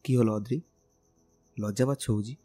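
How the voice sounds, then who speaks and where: A man's voice: on the low side, fairly even intonation, moderate pace, dark tone, breathy edge. A man playing a tender, teasing young bridegroom in a romantic audio drama, speaking earnestly and persuasively. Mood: teasing, affectionate. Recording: music bed under the voice.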